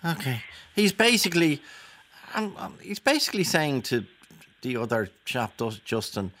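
An Irish man hosts a talk radio phone-in. He challenges his callers with probing questions. His pitch swings widely as he talks. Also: big pitch swings, moderate pace, a bit bright, a little hiss or hum.

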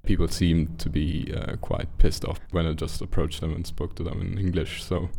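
Very low, gravelly man. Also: little pitch movement, normal speed, warm tone, a little hiss or hum. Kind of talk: casual interview answer.

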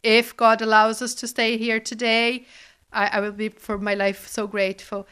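Woman from Brazil, interviewee; smooth and even, heartfelt. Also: on the high side, normal speed. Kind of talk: personal account.

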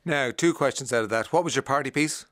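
A man's voice: medium pitch, fast talker, a bit bright, no echo, clean studio sound. An Irish man hosting a radio show, joking and hesitating with fillers as he chats with a guest. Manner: jokey, hesitant, fond of fillers and laughs. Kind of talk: casual radio interview. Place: radio studio.

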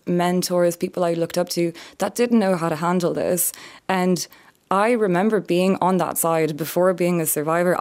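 A young adult woman from France, an author calmly explaining her experiences in an interview. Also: on the low side. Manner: earnest, measured, explanatory. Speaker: author and survivor, interview guest. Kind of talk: reflective interview answers.

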